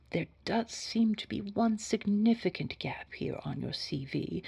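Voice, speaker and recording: Scary girl boss for an audio drama job interview played by a woman: deep for a woman, whispery husk, formal, quietly probing. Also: exaggerated voice. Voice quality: breathy, husky, whispery. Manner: measured, formal, insinuating. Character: smooth, secretive, scary corporate woman boss interviewing a candidate. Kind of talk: scripted audio drama dialogue.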